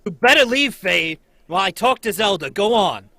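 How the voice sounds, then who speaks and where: A man acting out a harried circus manager in a 1940s-style radio play, barking orders in a bright, tense voice. The recording is dry and close, with lively swings in pitch. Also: moderate pace, no room sound, exaggerated voice. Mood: stern.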